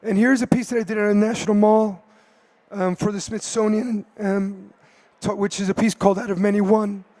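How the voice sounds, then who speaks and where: A man speaking on a conference panel, his voice hoarse from a cold and pitched well above most men. He talks hesitantly and often restarts his phrases. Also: little pitch movement, moderate pace, darkish tone. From United States. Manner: hesitant, many fillers and restarts. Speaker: artist on a panel.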